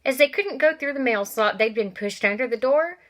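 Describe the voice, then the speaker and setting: A woman reads a children's novel aloud at a middling pitch. She gives the characters lively voices. Every so often she stops to talk straight to her young listeners. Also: fairly quick. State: coughing from allergies. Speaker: reader.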